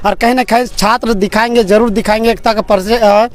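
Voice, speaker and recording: A man's voice: high voice, steady tone, fairly quick. A young man with a Jharkhand accent speaking as a student protester in a street interview, aggrieved and pleading. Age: young adult.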